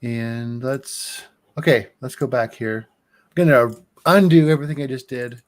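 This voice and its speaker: A man in his forties chatting casually on a livestream as he walks through his engineering software, joking and restarting his sentences. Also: medium pitch, steady tone, moderate pace. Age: 40s.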